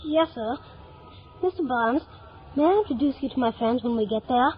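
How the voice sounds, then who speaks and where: A woman's voice: sits high, animated, moderate pace. A woman playing an earnest, eager, polite young boy full of wonder, in 1950s radio drama style. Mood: innocent, chatty. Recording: old radio broadcast, narrow band, music behind.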